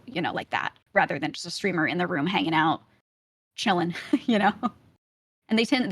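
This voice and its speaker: A woman's voice: on the low side, gravelly, a bit bright, no room sound. A young woman with a light Brooklyn accent, chatting casually as a podcast guest. She laughs often and fills her talk with "like" and "you know.". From Brooklyn, New York.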